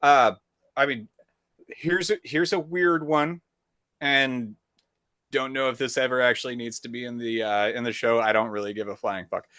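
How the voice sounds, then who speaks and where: A man's voice: medium pitch, fairly even intonation, moderate pace, sharp tone, dry recording. A man co-hosting a podcast in casual conversation, blunt and profane, telling stories with a rambling flow. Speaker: podcast co-host.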